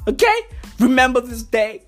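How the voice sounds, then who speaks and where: A woman hosting a podcast in a loud, profane comedic rant, her voice going hoarse. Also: fairly high pitch, moderate pace, soft background music. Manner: loud, excitable, swearing constantly, slangy. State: hoarse, losing voice. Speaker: podcast host.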